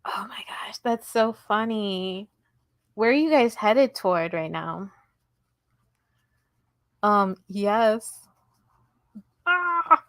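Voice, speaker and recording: A woman's voice: mid-range voice, expressive, extremely slow, pauses often, a bit bright, no room sound, studio quality. A woman hosting a casual crochet livestream, chatty and giggly as she talks to her viewers. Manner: chatty, giggly, talks to chat while working. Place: indoors at home table.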